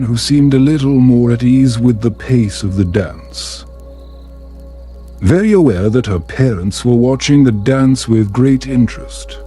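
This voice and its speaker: A man narrating a scripted bedtime story in a calm, soothing, measured manner. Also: mid-range voice. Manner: slow, soothing, measured delivery. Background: music.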